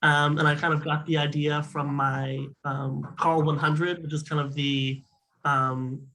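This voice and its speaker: Young man, hoarse, raspy, highish voice, monotone, enthusiastic student on a panel. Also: measured pace, low-quality recording. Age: young adult. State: hoarse, losing his voice. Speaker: music student. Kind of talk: panel discussion.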